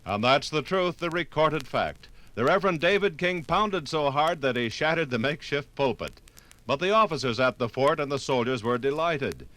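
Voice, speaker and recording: A man's voice: fairly high pitch, expressive, normal speed, fairly bright tone, poor audio. A man narrating a scripted 1950s radio documentary in emphatic, declarative storytelling.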